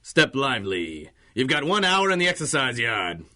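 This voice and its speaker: An actor playing a gruff, bossy prison guard in a 1930s-style radio drama, barking with heavy sarcasm. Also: male voice, mid-range voice, big pitch swings, normal speed, bright, forceful tone. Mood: bossy, sarcastic.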